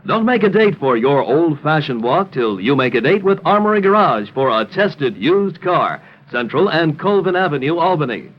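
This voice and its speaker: A man announcing on vintage radio, reading an ad and a show opener in a brisk, salesy manner. His pitch is lively and sits slightly high. The broadcast audio is dull and lo-fi.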